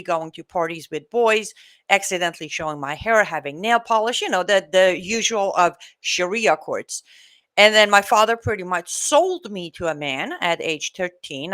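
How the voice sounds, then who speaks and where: Outspoken Iranian-born woman in her forties. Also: fairly low pitch. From Iran. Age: 40s. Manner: outspoken, animated storytelling. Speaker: guest. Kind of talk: casual interview talk.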